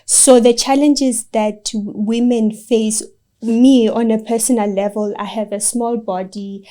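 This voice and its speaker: A woman's voice: on the high side, steady tone, a little slow, dark tone. A young woman, a project engineer, answering interview questions in an earnest and encouraging way. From South Africa. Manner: earnest, encouraging, gives direct advice.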